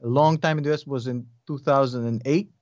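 A man in his forties with a thick Russian accent, a podcast guest talking in a rambling, animated way and laughing often. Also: mid-range voice, fast, dry recording, muffled recording. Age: 40s. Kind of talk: casual interview chat.

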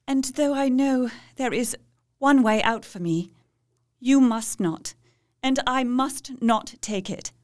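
A woman playing Mina, a devoted young wife, speaking with slow, solemn, pleading resolve in a radio play. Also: high-pitched.

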